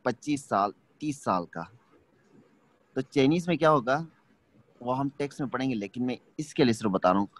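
A man teaching an online language class, explaining slowly and checking that students follow. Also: mid-range voice, lively intonation. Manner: patient, explains slowly, checks understanding often. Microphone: video call.

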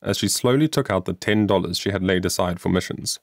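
A man's voice: sits low, a little fast, dry recording, studio quality. A man narrating a children's moral story as an audiobook, reading earnestly and expressively from a script.

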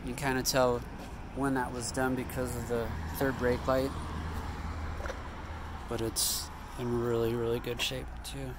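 A man's voice: mid-range voice, level tone, measured pace, background noise. A man casually talking through what he sees as he walks around, thinking aloud and correcting himself, recorded outdoors on a handheld phone. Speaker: vlogger. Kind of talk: casual walkaround commentary. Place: outdoor parking lot.

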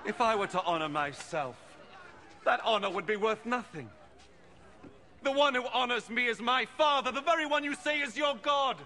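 A man's voice: very high-pitched, expressive, normal speed, pushed and bright, full character voice. An actor in his thirties playing an impassioned young preacher confronting doubters in a film drama, declamatory and earnest. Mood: firm, earnest. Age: 30s. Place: open stone courtyard. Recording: noisy outdoor crowd ambience.

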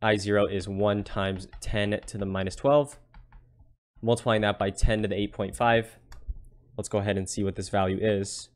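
A man's voice: fairly low pitch, steady tone, normal speed. A man giving a casual tutorial as a tutor, explaining step by step and often checking in with "right?". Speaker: physics tutor. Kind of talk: casual worked-problem tutorial.

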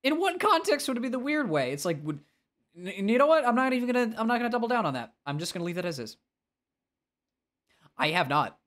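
A man's voice: very high-pitched, very animated, fast talker, long pauses, a bit bright. A man with a nasal voice, talking casually and expressively as he livestreams a game and answers chat, often self-deprecating.

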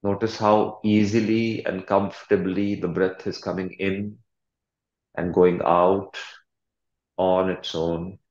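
A man leading a guided meditation as a coach, speaking calmly and deliberately with long pauses and soothing instructions. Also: sits low, echoey room, dull audio.

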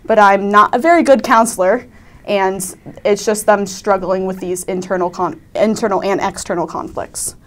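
A young woman, a high school student actor, talking casually as a panel interview guest. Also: fairly even intonation, normal speed. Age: teens to young adult, high school student. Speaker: student cast member being interviewed.

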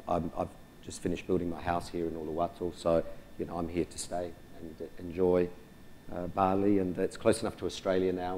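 Deep-voiced middle-aged panelist, unhurried and hesitant, on a conference stage. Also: male voice, warm tone, slight background noise. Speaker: resort general manager, panelist. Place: large conference hall stage.

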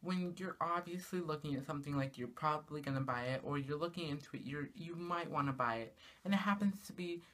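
A teenage girl vlogging from her small bedroom, talking casually to the camera and ranting in an exasperated way, her pitch jumping up on rhetorical questions. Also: a little fast, hardly any pauses, a bit bright. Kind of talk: casual comedic rant to camera. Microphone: camera in front of her, at arm's length.

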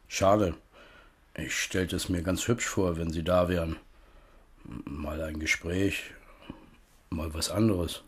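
An actor playing a plain-spoken rural landlord in a radio play, blunt and matter-of-fact. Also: male voice, sits low, steady tone, slow pace, long pauses, husky, exaggerated voice. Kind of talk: 1970s German radio play acting.

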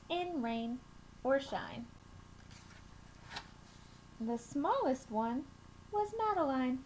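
A young woman reading a picture book aloud to children, with measured, deliberate phrasing and expressive emphasis, recorded on a webcam mic in a small room. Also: high-pitched. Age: young adult. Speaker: children's storytime reader at a school. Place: small room at home, indoors. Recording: noisy home webcam recording.

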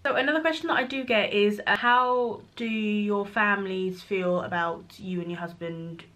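A woman's voice: medium pitch, normal speed, sharp tone. A woman talks straight into a close camera, answering viewers' questions one after another. Her delivery is frank and chatty, like a casual home vlog recorded in a small bedroom. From Congo. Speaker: vlogger. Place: bedroom nursery, indoors. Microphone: camera at close range.

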